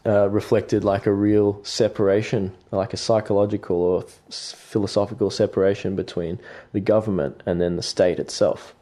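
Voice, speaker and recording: An Australian man speaking in a low, mellow voice. He thinks aloud in a relaxed podcast chat, pausing often on ums and restarting his sentences. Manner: hesitant, many fillers and restarts. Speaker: guest artist. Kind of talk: reflective podcast conversation.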